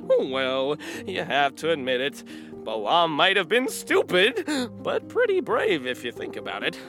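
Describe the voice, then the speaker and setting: Chatty donkey storyteller, kids' audio drama; bright, lively pitch swings, folksy and earnest. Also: male voice, a little slow, vocal fry, faint music behind, full character voice. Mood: chatty, proud. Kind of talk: children's audio drama acting.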